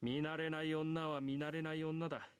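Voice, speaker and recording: An actor playing an earnest, proper male high school student in a visual novel, speaking in formal, old-fashioned phrases. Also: level tone, fast talker, clear, resonant tone, no room sound, lightly acted. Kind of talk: anime visual novel voice acting. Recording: loud game music behind. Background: game music.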